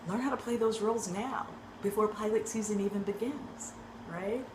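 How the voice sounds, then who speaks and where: A woman acting coach giving an energetic talk to actors, often checking in with "right?". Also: medium pitch, normal speed, background noise. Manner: energetic, keeps asking "right?". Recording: echoey room with faint ambience.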